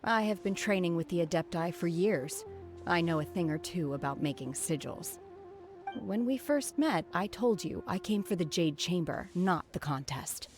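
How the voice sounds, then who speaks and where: An actress voices a reserved, earnest young heroine in a video game. Her voice is low for a woman, calm and formal, with soft music behind it. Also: moderate pace, natural voice.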